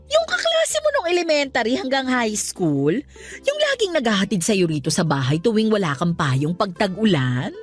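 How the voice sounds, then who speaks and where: A woman's voice: medium pitch, sing-song, moderate pace. A sassy, lovestruck older Filipino mom in a radio drama. Her melody swings widely as she teases with campy, vain flair. Light music plays underneath. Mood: hesitant, coy. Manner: playful, campy, slangy teasing. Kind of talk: Tagalog radio drama acting.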